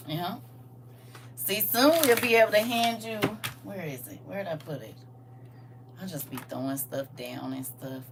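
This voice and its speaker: A woman in her forties with a bright, clear tone, running a casual livestream. She reads viewers' comments aloud and teases them in a loose, colloquial way. She laughs often and keeps the tone playful. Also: mid-range voice, slight background noise. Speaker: livestream host.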